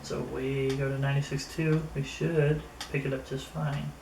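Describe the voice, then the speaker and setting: A man giving a casual hands-on product review, thinking aloud in a somewhat halting way. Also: mid-range voice, flat delivery, vocal fry, darkish tone. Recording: roomy echo, distant mic, faint noise.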